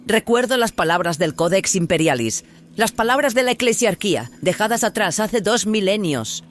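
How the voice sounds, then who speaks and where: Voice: mid-range voice, animated, normal speed, a little hiss or hum, lightly acted. An actor playing a fervent, hard rebel leader in an audio drama, with an intense, declamatory delivery. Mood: fanatical fervour. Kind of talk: dramatised audio fiction dialogue in Spanish.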